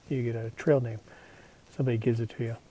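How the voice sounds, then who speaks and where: Texan guy vlogging on a hike, rambly and relaxed, lots of ums, mid voice. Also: medium pitch, normal speed, slight background noise, rough recording. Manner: rambling, easygoing, with frequent fillers. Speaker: thru-hiker vlogging his hike. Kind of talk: casual vlog narration. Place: outdoors on a mountain trail.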